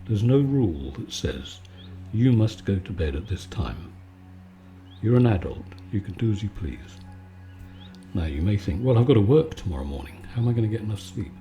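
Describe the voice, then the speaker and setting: A man giving a calm, soothing, conversational bedtime chat in a relaxed ASMR style. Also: fairly low pitch, normal speed, muffled recording, soft background music. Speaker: video maker and host.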